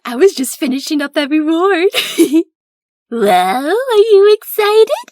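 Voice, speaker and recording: A woman's voice: very high-pitched, sing-song, slow, a little mellow, no room sound, clean studio sound, exaggerated voice. A woman playing a gloating, theatrical game villain who presents things in a grand, teasing way. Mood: gleeful anticipation. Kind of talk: scripted game character lines.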